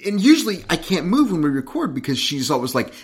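Animated, joking man with a lively voice. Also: on the high side, quick pace, slight background noise. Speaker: podcast co-host. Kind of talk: casual podcast chat.

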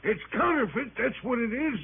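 An actor playing an outraged, eccentric art thief in a 1960s radio drama, indignant, shrill and sputtering. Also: male voice, lively intonation, moderate pace, creaky, crowd noise, full character voice. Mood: outraged, frantic. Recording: narrow-band old radio recording, background hubbub.

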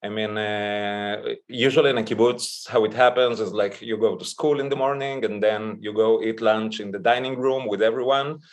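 A man's voice: on the low side, fairly even intonation, moderate pace, hardly any pauses. A man in his thirties from Israel and Chile, chatting informally as an interview guest and telling personal stories. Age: 30s. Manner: informal, mild swearing. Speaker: podcast guest, toy artist. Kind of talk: casual interview chat, personal storytelling.

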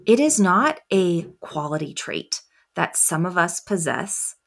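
A woman coaching on confidence as a podcast guest, soft and quiet, taking long pauses between her thoughts. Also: fairly low pitch, very animated, creaky. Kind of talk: reflective interview answers.

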